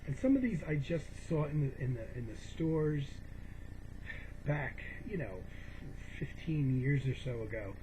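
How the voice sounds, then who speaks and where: A man's voice: a little high, sing-song, measured pace. A middle-aged man chatting casually and unscripted as he shows things to viewers, rambling and hesitant, often backtracking. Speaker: video host showing a personal CD collection. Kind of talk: casual unscripted show-and-tell chat. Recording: noisy home video recording.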